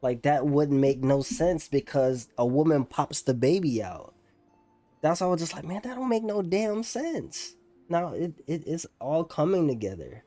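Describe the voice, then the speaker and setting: A man's voice: a little high, big pitch swings, moderate pace, mellow, dry recording. A young man giving casual, slangy live reaction commentary as a gaming streamer. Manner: slangy, repetitive, incredulous exclamations.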